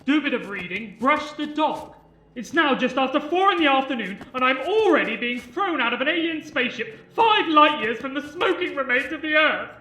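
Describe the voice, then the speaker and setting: Casting: woman playing hapless human stowaway, high and animated, dryly sarcastic and complaining, comic radio drama. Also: normal speed. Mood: aggrieved, sarcastic. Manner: dry, aggrieved, sardonic. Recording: echoey room.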